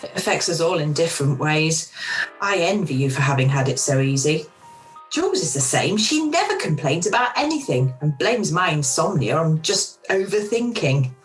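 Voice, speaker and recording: A woman playing a warm but self-doubting middle-aged restaurant owner in an amateur radio play, recorded over a video call. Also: sits low, very animated, normal speed, soft background music, low-quality recording. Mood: anxious.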